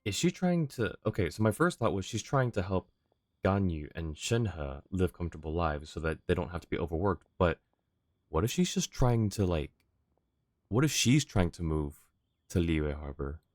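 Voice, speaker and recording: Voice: low-pitched, lively intonation, moderate pace, darkish tone, dry recording. A livestreamer giving casual commentary while playing a game.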